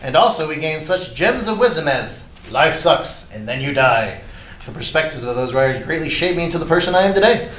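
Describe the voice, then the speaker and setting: A woman acting a gloomy, lazy slacker with languid, mock-pompous deadpan wit in a staged reading. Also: sits low, fairly quick. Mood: deadpan resignation. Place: live staged reading in a room. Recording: echoey room, faint background noise.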